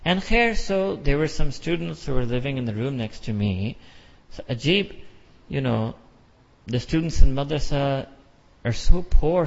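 A man's voice: mid-range voice, creaky. A middle-aged American man giving an emphatic sermon with repeated phrases and rhetorical questions. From United States. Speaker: imam. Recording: dull lo-fi sound with long hall reverb.

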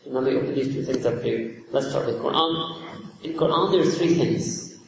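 A man's voice: medium pitch, moderate pace, creaky, slight background noise. A man with a non-native English accent delivers a sermon, earnest and emphatic, repeating key phrases and reciting Arabic verses. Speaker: Islamic preacher. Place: large echoey hall. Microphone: distant from mic. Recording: echoey hall, voice from far off.

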